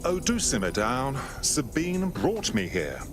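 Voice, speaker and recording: An animated, genteel British recruit. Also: male voice, mid-range voice, measured pace, music in the background. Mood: unruffled. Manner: polite, arch, understated. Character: genteel, dryly witty British male resistance recruit. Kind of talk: video game cutscene acting.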